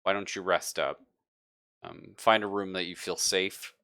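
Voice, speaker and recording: A man voicing a helpful, watchful android crewmate in a sci-fi tabletop game, making polite, measured suggestions. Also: low voice, steady tone, creaky, sharp tone, no echo, heavily acted. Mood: calm, helpful.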